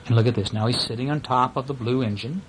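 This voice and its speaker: A man's voice: mid-range voice, quick pace, background noise. A man reading a bedtime story to his young children in a casual, playful way, breaking off to ask questions and act out sound effects.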